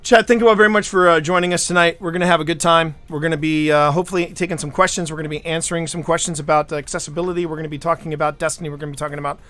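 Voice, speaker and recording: A man's voice: fairly high pitch, steady tone, very fast, hardly any pauses, a bit bright, soft background music. Rambling male stream host. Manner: rambling storyteller, repeats words often. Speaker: livestream host. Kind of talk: casual chat.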